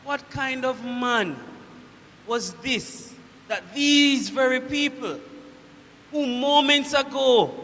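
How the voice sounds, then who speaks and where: A very high, bright voice rings through a long hall reverb. It is a proud Roman centurion, played by a man on stage, commanding and declamatory, yet shaken by guilt and dread. He speaks in slow, weighted phrases with dramatic pauses. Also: slight background noise, full character voice. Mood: bewildered. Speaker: actor performing a dramatic monologue. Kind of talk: theatrical church monologue.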